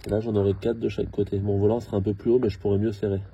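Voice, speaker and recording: A man talking casually and haltingly through hands-on work, thinking aloud as he goes. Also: lowish voice, flat delivery, warm tone, lo-fi sound, a little hiss or hum. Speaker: car mechanic hobbyist filming his own repair. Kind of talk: casual hands-on tutorial narration.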